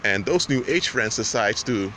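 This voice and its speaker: A man with a low voice teaches on faith, loud and emphatic, with halting restarts. His voice carries a long reverberant tail. Clear traffic noise runs behind him. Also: expressive. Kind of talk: spoken teaching monologue.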